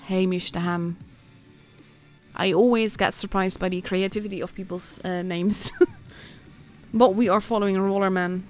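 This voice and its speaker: A woman's voice: mid-range voice, steady tone, measured pace. Dutch-accented woman commentating over music. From Netherlands. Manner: chatty, informal, reacting as play unfolds. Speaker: esports commentator and stream host. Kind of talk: casual live game commentary.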